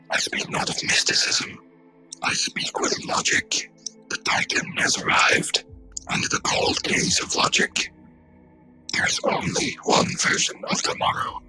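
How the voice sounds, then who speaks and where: A man voicing a cold, obsessive robot villain in a fan dub, halting and ominous like a chant. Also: pushed and bright, faint music behind. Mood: prophetic, cold. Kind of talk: scripted fan dub of a comic.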